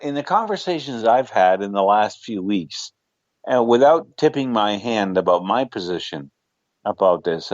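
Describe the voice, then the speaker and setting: A man with a low voice, talking politics in a measured, hedging way. He comes through a somewhat muffled remote line that sounds dry. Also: a little mellow, no room sound. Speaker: radio talk show co-host. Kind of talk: casual political chat.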